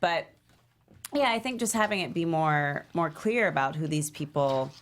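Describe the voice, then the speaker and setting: A woman's voice: low voice, lively intonation, normal speed. A woman giving casual feedback in a panel discussion, thinking aloud as she goes. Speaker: script table read panelist.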